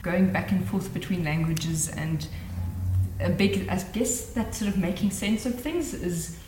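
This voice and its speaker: A woman's voice: fairly low pitch, unhurried, a little mellow, a little hiss or hum. A woman from South Africa with German as her first language, an artist giving reflective interview answers and searching for words. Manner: thoughtful, searching for words with frequent ums. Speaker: artist in residence. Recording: roomy with faint ambience.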